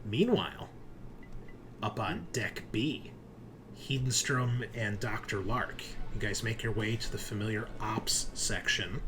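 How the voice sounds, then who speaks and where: A man's voice: fairly low pitch, a little slow, music in the background. A man narrating a tabletop roleplaying game as game master, improvising informally and addressing the players as "you".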